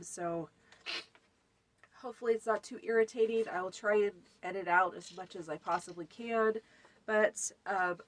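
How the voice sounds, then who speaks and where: A woman's voice: mid-range voice, low-quality recording. A woman hosting a folk magic channel, talking casually through a how-to with a stuffy, runny nose and lots of fillers. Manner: chatty, many fillers, lists items. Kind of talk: casual spoken tutorial.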